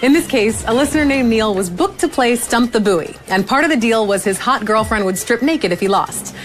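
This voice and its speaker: A woman's voice: on the high side, lively intonation, fairly quick, slight background noise. A woman co-hosting a show, reading scripted introductions to segments. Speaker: show co-host. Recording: music playing under the voice.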